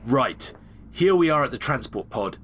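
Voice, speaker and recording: A man's voice: mid-range voice, animated, moderate pace, fairly bright tone, slight background noise, natural voice. A man playing a chummy, eager space agent who guides the player as a partner in a sci-fi video game. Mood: businesslike, instructive. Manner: informal, enthusiastic, instructive. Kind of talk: video game tutorial dialogue acting.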